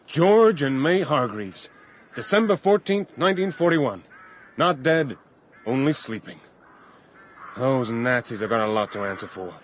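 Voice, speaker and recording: An actor playing a brash, sentimental Canadian millionaire with a North American accent in a comic radio drama, theatrical in manner. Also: male voice, medium pitch, expressive, measured pace, a little hiss or hum, lightly acted. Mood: wistful, bitter. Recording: faint outdoor ambience with crows. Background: crows and faint outdoor ambience.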